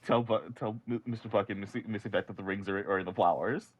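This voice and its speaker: A man playing a tabletop game who talks haltingly and often repeats words. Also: on the low side, no room sound, highs cut off. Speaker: player.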